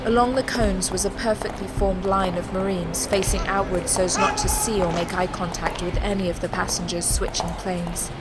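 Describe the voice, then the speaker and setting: A woman narrating an audio drama from a script in a measured, descriptive manner. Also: medium pitch, steady tone, over loud music, close to an everyday voice.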